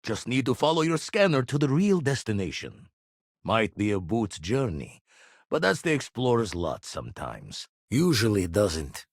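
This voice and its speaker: A gruff veteran spacefarer from a video game, once a pirate. The voice is husky and on the low side, swinging expressively through measured, wry, slang-heavy lines. It sounds close and dry. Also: male voice, huge pitch swings, no room sound, exaggerated voice. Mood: grave, wry. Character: seasoned, gruff male space explorer, ex-pirate mentor. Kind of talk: scripted game character dialogue.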